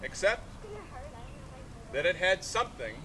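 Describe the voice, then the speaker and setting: Male reader for live outdoor literary event: declamatory, broken phrasing, very animated pitch, faint background noise. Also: measured pace. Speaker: artist reading aloud and taking questions. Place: outdoors in a sculpture park. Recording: noisy outdoor recording with vehicle rumble.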